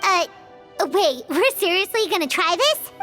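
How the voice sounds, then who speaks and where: A woman voicing a small, chirpy, curious fairy girl companion in a video game, with a childlike, expressive delivery. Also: unhurried, faint music behind, exaggerated voice. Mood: puzzled. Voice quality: squeaky, childlike. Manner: expressive, exclamatory.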